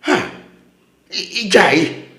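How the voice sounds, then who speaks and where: A man's voice: high voice, very animated, very slow, pushed and bright, exaggerated voice. Guy acting a flustered ilocano husband, voice swinging all over, echoey room, comedy drama. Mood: flustered, grumbling.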